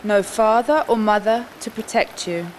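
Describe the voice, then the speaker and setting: A woman giving scripted documentary narration in a solemn, measured way, with short deliberate phrases. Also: on the high side, a little hiss or hum, rough recording.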